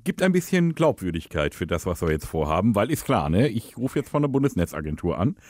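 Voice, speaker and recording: A man's voice: low-pitched, very animated, a little fast, darkish tone, no room sound, studio quality. A man talking casually and conversationally as the host of a prank call show.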